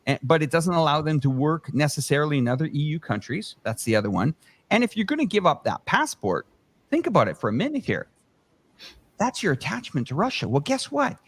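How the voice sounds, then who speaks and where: A man's voice: fairly high pitch, big pitch swings, fairly quick, slightly rough. A man giving impassioned political commentary as a livestream panelist, emphatic and fond of rhetorical questions. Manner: emphatic, rhetorical questions, acts out imagined exchanges.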